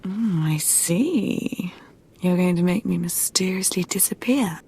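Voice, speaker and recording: A woman's voice: on the low side, takes it slowly, vocal fry, mellow, husky, full character voice. An actress playing a spoiled, brash young rich woman in a video game, flippant and sassy. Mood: coy, bored. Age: young adult.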